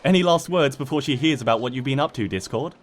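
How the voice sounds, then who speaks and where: A man's voice: mid-range voice, fast talker, background noise, natural voice. A man voicing an exasperated young female cartoon pony with a sarcastic, bossy, huffy manner. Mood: triumphant. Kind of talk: cartoon fan-fiction character reading.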